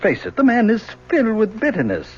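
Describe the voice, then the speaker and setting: A man's voice: sits high, huge pitch swings, fairly quick, darkish tone. An actor playing a worried, exasperated older professor, a father pleading with his grown daughter in a radio drama. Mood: pleading, firm. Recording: muffled old radio recording, faint noise.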